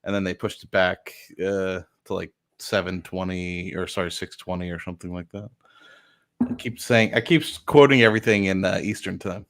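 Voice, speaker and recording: Stream host, man, lowish voice, self-correcting rambler in quick bursts. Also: fairly even intonation, fairly bright tone. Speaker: livestream host. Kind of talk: casual chat on a livestream.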